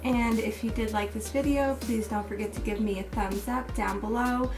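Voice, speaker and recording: A woman beauty vlogger, slightly hoarse, talking through a makeup tutorial step by step and then giving a casual review with many fillers. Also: a little high, fairly even intonation, normal speed. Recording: distant mic with music playing behind.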